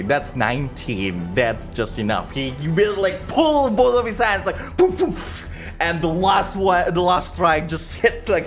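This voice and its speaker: High-voiced man narrating a tabletop game. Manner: animated storytelling, halting, thinking aloud. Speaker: game master and streamer.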